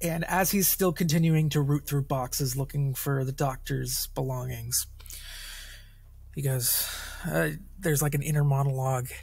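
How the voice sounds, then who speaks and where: Slow-talking male tabletop player. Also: mid-range voice, steady tone, vocal fry, a little mellow, husky, no room sound. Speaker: role-playing game player. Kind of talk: casual third-person description of character's actions.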